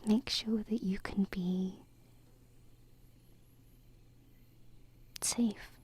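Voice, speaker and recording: A woman voicing a gentle, wistful alien princess in a soft, hesitant whisper for an ASMR roleplay, close to a binaural mic. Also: mid-range voice, steady tone, breathy edge. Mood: hopeful, tender. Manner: hesitant, tender, slow pauses. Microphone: close binaural microphone.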